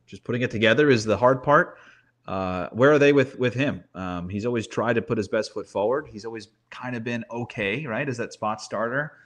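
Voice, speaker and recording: A man's voice: mid-range voice, fairly quick, fairly bright tone. A man in a casual sports discussion as a baseball analyst, conversational and thinking aloud, often posing questions to himself. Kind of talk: casual sports talk, roster preview.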